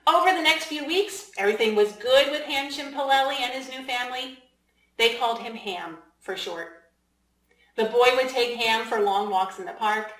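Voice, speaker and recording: A woman's voice: on the high side, a bit bright. Echoey storybook read-aloud by a woman. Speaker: children's author reading aloud. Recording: echoey room.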